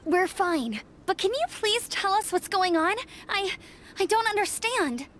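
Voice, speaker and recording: A woman's voice: very high-pitched, lively intonation, unhurried, a bit bright, heavily acted. A woman playing a polite, unsure young girl in a video game, with some ambient noise behind her. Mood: confused, polite.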